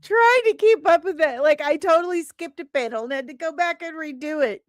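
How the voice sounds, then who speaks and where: A woman's voice: high voice, brisk, no room sound, studio quality. A woman with a playful, theatrical manner, hosting a livestream and reading aloud from her comic script. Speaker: host and comic author.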